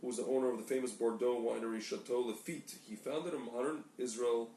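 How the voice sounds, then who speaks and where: A man's voice: medium pitch, steady tone, fairly quick, mellow. A man co-hosting a casual talk show, reading aloud from documents and often stumbling and correcting himself. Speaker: podcast co-host. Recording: echoey room, distant mic, faint noise.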